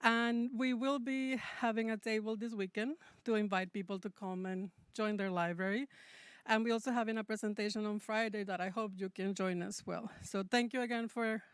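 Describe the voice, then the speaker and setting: A woman's voice: medium pitch, smooth, no room sound. A woman from Mexico, a native Spanish speaker, giving a prepared welcome speech as a library representative.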